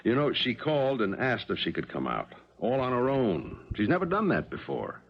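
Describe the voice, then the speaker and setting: An actor playing a gentle, placating middle-aged husband and father in a 1970s radio drama, earnest and coaxing. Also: mid-range voice, huge pitch swings, moderate pace, creaky, breathy edge, full character voice. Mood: hopeful. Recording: muffled old radio recording.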